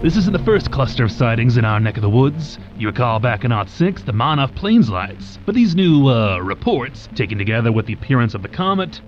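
An actor playing a folksy, excitable rural sightings enthusiast talking on the radio. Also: male voice, medium pitch, fairly quick, hardly any pauses, close to an everyday voice. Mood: excited. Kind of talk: radio interview in audio drama. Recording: muffled radio sound, loud music behind.

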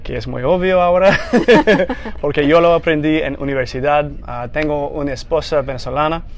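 Casting: young American anchor, English native, fumbling through learner Spanish, slightly high voice, nervous laughs, lapel mic in studio. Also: male voice. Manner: halting, searching for words with restarts. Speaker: news anchor guest. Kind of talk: casual studio interview. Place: small TV studio, seated on couch.

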